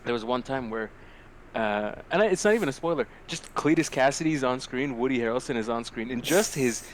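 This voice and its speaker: A man co-hosts a casual review podcast with a mid-pitched voice. He is animated and slangy, swearing freely as he gives his opinions. There is some noise behind him. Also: a little fast. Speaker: podcast co-host. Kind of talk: casual movie-review banter.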